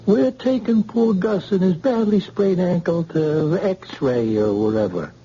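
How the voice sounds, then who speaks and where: Gangster, male,, slangy drawled threats, lively pitch, dark tone, old radio sound. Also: unhurried, husky, exaggerated voice. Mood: menacing. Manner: slangy tough-guy talk, drawled threats. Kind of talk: 1940s radio acting. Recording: dull old radio recording, faint hiss.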